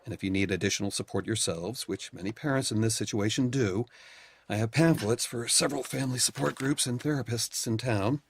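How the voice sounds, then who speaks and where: An actor playing a patient, clinical doctor who explains test results firmly. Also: male voice, medium pitch, gravelly, husky. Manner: measured, clinical, firm. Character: calm, patient male doctor delivering hard news. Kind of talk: audio drama acting.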